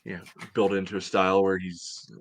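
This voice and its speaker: A man in his thirties giving casual sports analysis in a measured, conversational way as a podcast co-host on a video call from home. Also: deep voice, level tone, a little hiss or hum. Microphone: headset on video call.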